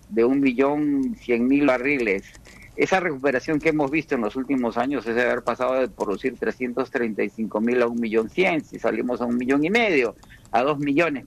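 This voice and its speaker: A Peruvian-born man speaking as an economist guest in a radio interview, explaining at a measured pace with frequent restarts. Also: medium pitch, gravelly, low-quality recording. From Peru.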